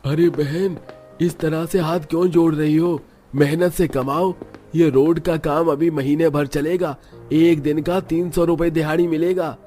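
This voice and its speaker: A man's voice: a little high, steady tone, measured pace, dark tone, soft background music, close to an everyday voice. A man voicing a practical, kindly roadwork boss in a cartoon story. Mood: matter-of-fact. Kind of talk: Hindi cartoon story acting.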